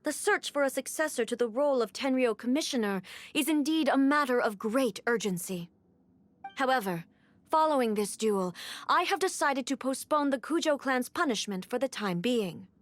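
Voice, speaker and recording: Cold commanding queen type, very formal, slightly high pitch. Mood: authoritative. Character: regal young woman ruler, stern and composed. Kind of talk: video game voice acting. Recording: game audio with soft music.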